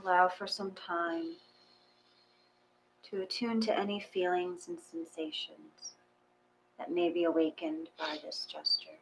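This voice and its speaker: A woman with a lowish voice leads a guided meditation very slowly. Her delivery is gentle and soothing, with a faint hum behind it. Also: steady tone, poor audio. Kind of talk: guided meditation, reading aloud from a book.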